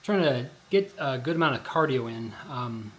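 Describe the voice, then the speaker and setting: A hoarse man vlogging in a casual, rambling way, full of fillers and restarts. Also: medium pitch, expressive, normal speed, fairly bright tone. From Colorado. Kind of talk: casual vlog chat. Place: outdoors at a shopping district in light rain. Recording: noisy outdoor recording.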